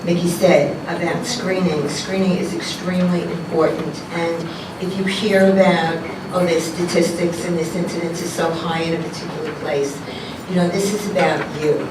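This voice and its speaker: A woman's voice: fairly low pitch, fairly even intonation, moderate pace. A woman moderating a community health forum, speaking earnestly with some hesitant restarts. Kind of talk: public forum remarks. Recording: echoey hall, distant mic, noisy.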